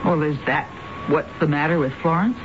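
An actress playing a concerned, puzzled wife in a radio drama, hesitant and questioning. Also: female voice, low-pitched, fairly even intonation, fairly quick, background noise, close to an everyday voice. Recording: muffled radio recording with loud background chatter.

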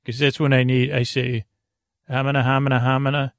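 A man hosting a sleep podcast in a whimsical, meandering monologue, halting often with false starts and drifting tangents. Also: medium pitch, level tone, no room sound, studio quality. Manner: playful one-sided chat with an imaginary companion. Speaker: podcast host.

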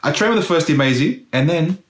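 Animated South African man, roomy sound. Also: fairly high pitch, fast. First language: Afrikaans. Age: middle-aged. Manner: animated, acts out his past self with exclamations. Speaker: guest, former footballer. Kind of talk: storytelling interview. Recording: roomy echo.

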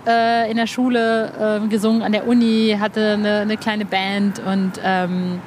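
A woman's voice: medium pitch, level tone, unhurried, noisy background. A woman hosting a podcast interview in a casual, chatty way, informal and eager in her emphasis. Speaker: podcast host, music journalist.

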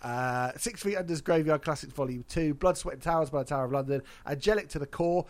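A man's voice: fairly high pitch, fast talker. A man hosting a casual podcast, congested from hay fever, wry and chatty. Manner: wry, chatty, laughs at own jokes. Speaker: podcast host.

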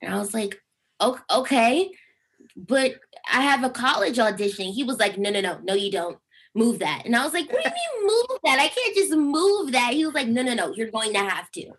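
A young woman with a squeaky speaking voice, a singer chatting over a video call and telling stories with lively acted-out quotes. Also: moderate pace, breathy edge. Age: young adult. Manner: animated storytelling, acts out quotes with big pitch jumps. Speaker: singer guest. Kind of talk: casual interview chat.

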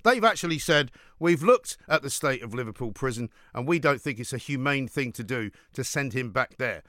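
A man's voice: mid-range voice, expressive, normal speed, pushed and bright, no echo, studio quality. A British man hosting a talk radio show, giving opinions in an emphatic, sardonic, rhetorical way.

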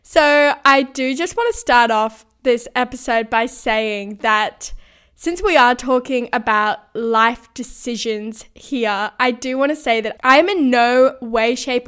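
Slightly croaky young woman podcasting. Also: on the high side, a bit bright. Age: 20s. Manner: earnest, frequent light laughs. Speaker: podcast host. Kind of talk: casual solo podcast monologue.

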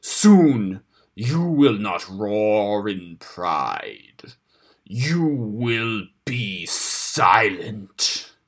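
A man voicing a looming thunder creature in a storybook, quiet, deep and menacing, full of cold rage. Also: sing-song, exaggerated voice. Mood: cold menace. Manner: very slow, menacing.

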